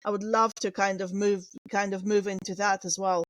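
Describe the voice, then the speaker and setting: A woman's voice: medium pitch, flat delivery, moderate pace, no echo. A woman whose first language is Russian, a guest manga artist talking casually and hesitantly, with many fillers and restarts. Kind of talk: casual interview chat.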